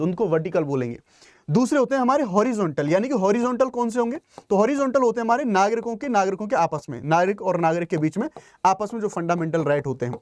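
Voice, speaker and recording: High-pitched, quick-talking male exam-prep teacher. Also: a little mellow, no echo, studio quality. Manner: didactic, asks and answers own questions, repeats and rephrases points; mixes English terms into speech. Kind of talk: explanatory current-affairs lecture for exam students.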